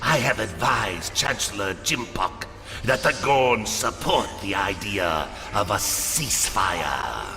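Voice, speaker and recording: An actor playing a grave, diplomatic reptilian ambassador in a video game, with weighty, formal speech. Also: male voice, fairly low pitch, lively intonation, slow pace, vocal fry, heavily acted. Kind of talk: scripted game voice acting. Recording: long reverb, music behind.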